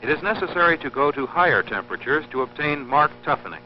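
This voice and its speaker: A man narrating an instructional film in a formal, scripted, measured and precise teaching manner. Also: a little high, animated, slight background noise. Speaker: narrator of an industrial training film. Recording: dull lo-fi old film soundtrack, humming.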